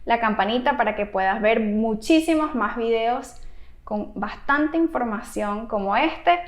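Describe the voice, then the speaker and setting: A woman with a high voice explains things to parents as a therapist would in an advice video. She takes her time and speaks with animated emphasis. The room adds noticeable echo around her voice. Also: measured pace. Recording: roomy, echoey room sound.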